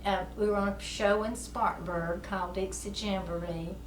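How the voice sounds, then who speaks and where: A woman, telling her memories in a folksy, rambling way and chuckling often. Her voice sits at an ordinary pitch for a woman. It is picked up from some way off the mic in an echoey space, with a faint hiss underneath. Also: steady tone, moderate pace, recorded from a distance. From South Carolina, USA. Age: 70s. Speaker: interviewee, amateur musician. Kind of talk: oral history interview.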